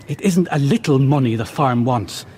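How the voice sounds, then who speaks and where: An actor playing a bitter, resentful young eldest son in a radio drama. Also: male voice, medium pitch, expressive, moderate pace, darkish tone, slight background noise, natural voice. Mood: sullen. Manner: sullen, resentful.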